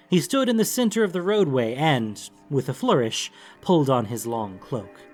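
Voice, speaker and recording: A man reading a scripted fantasy story as an audiobook narrator, in a dramatic storytelling style. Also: medium pitch. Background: background music.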